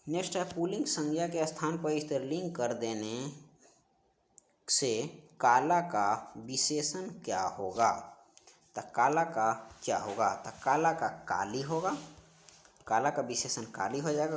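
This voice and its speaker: A man's voice: medium pitch, a little hiss or hum. A man teaching an exam-prep grammar lesson, going through questions and answers and repeating each answer in an instructional way.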